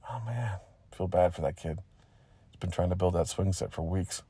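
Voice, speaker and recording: Casual rambling young dude, read by a man, curious, lowish, dry sound, comic storytelling. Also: moderate pace, warm tone, breathy edge, dry recording. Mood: puzzled.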